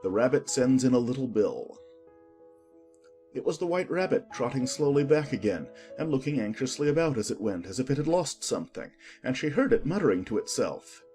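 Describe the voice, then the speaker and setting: Expressive male storybook narrator. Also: mid-range voice, brisk, soft background music. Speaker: audiobook narrator.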